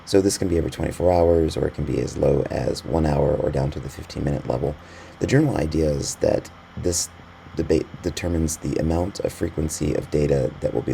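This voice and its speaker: Really deep guy doing a software walkthrough, lots of vocal fry, faint noise behind him. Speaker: software tutorial narrator. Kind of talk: instructional screencast narration. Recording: voice-over with faint background noise.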